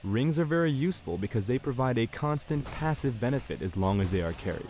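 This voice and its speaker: An actor playing a helpful game tutorial narrator, giving scripted instructions in a measured way. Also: male voice, medium pitch, animated, background noise, natural voice. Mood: instructive.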